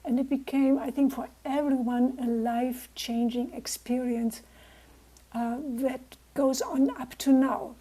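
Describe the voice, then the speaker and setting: A woman in her sixties from Germany, with a German accent, speaking gently and reflectively as a guest speaker to a club. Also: high-pitched, flat delivery, a little slow. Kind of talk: personal talk to a club.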